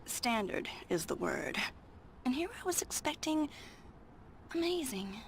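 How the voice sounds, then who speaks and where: Sultry, creaky-voiced cat burglar. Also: female voice, sits high, sing-song, slight background noise, heavily acted. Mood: sultry, mocking. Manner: slow, purring, mocking. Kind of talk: video game voice acting. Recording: music behind the voice.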